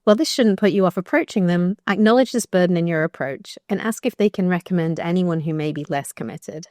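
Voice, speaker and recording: A woman with a croaky voice reading her own blog post aloud, measured and thoughtful with brief restarts. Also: fairly low pitch, darkish tone, no room sound, studio quality. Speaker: researcher narrating her blog.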